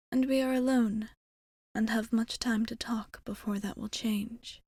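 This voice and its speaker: An ancient vampire woman, acted out by a woman for an intimate ASMR roleplay. Her voice is fairly high yet soft and mellow, often sinking into a breathy half-whisper. She speaks very slowly, with formal, measured tenderness, close to the microphone. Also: no room sound. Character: ancient female vampire, tender, formal, quietly lovestruck bar owner.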